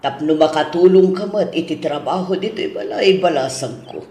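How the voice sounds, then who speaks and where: A man playing a fussy, nagging older mother-in-law in an Ilocano radio drama, with an Ilocano accent and drawn-out, chiding delivery. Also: fairly high pitch, dark tone, lightly acted. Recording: roomy, echoing studio drama sound.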